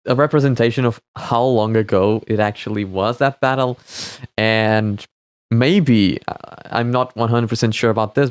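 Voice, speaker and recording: A man's voice: mid-range voice, clear, resonant tone, no room sound. A man giving casual, off-the-cuff commentary as a reaction host, hesitant and often repeating his words. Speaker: host of a reaction and analysis series.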